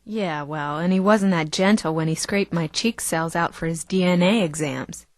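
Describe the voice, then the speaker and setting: A woman's voice: fairly low pitch, normal speed, no room sound, lightly acted. Woman playing a self-assured co-host, close and dry. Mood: wry, chatty. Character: chatty, self-assured female talk show co-host. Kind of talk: audio drama acting.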